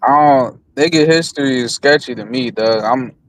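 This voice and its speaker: A man's voice: medium pitch, moderate pace, rough recording. A man on a livestream panel talking casually and profanely, blunt and skeptical.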